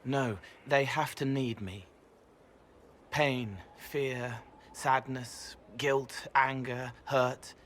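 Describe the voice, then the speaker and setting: An actor playing a gentle young male spirit who talks in soft, halting, dreamy fragments. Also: mid-range voice, fairly even intonation, a little hiss or hum.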